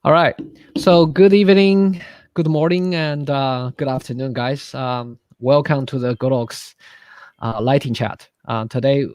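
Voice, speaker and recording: A man's voice: medium pitch, fairly even intonation, measured pace, a little mellow, no echo. Enthusiastic man hosting a live chat. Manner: hesitant, many fillers and restarts, enthusiastic. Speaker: webinar host. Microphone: video call.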